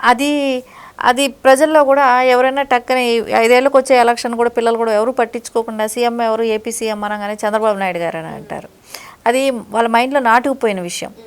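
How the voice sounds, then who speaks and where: A woman's voice: fairly high pitch, clear, resonant tone. A woman giving critical, opinionated political commentary as an interview guest, in long conversational explanations.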